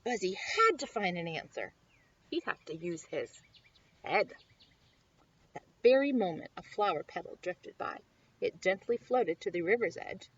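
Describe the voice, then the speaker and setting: A woman reading a children's picture book aloud expressively, with dramatic, drawn-out emphasis on key words. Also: fairly low pitch, very animated, breathy edge. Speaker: story time reader. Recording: dull lo-fi sound.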